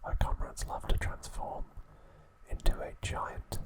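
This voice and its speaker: Slow, whispering ASMR man. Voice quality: soft, breathy whisper. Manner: gentle, soothing, unhurried delivery in short phrases. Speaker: ASMR channel host. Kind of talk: whispered ASMR trivia narration and sign-off.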